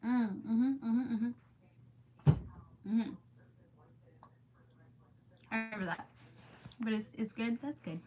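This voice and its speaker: Higher-pitched woman, chatty and informal. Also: slow pace, long pauses, dark tone. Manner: chatty, informal, easily sidetracked. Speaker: livestream host reading aloud. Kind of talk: casual livestream chat and storybook read-aloud.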